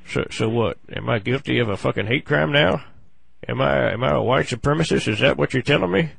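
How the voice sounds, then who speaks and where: A man with a gravelly voice making a comic prank phone call, halting and full of mock-earnest complaint. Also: medium pitch, fairly bright tone, no echo. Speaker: prank caller on a comedy show.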